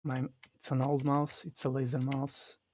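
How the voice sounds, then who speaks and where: A man from Portugal with a Portuguese accent, explaining his game live in a halting way that restarts phrases. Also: medium pitch, completely flat delivery, darkish tone, a little hiss or hum. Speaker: streamer. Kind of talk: live gameplay commentary.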